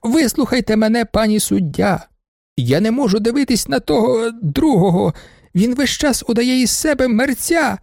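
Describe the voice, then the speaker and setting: A man reading an audiobook, voicing a shrill, hysterical older baroness who shrieks her complaints. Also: lively intonation, normal speed, creaky, darkish tone, no echo, clean studio sound. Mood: shrill complaining. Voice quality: shrieking, piercing. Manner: overwrought, complaining. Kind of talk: audiobook character voice.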